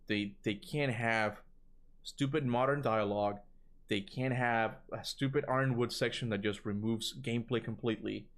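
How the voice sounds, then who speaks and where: Cuban accent guy rambling on stream, lively, cusses a bit, tired voice. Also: mid-range voice, normal speed. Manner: rambling, animated, swears casually. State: tired voice from long talking. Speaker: gaming streamer answering chat. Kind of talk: casual livestream chat.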